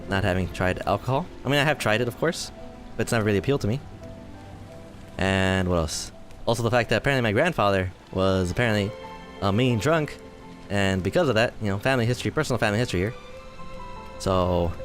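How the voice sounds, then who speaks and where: A man in his twenties giving rambling, self-deprecating commentary while playing a game, his voice tired and a little hoarse. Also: on the low side, animated, fast talker, pauses often, soft background music. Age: 20s. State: voice tiring, slightly hoarse. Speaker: gaming video host. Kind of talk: casual let's-play commentary.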